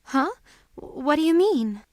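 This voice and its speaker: A woman's voice: high voice, expressive, slow pace, no room sound, clean studio sound. An actress playing a young female rebel spy in a video game cutscene, guarded yet tender and earnest. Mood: guarded, defensive.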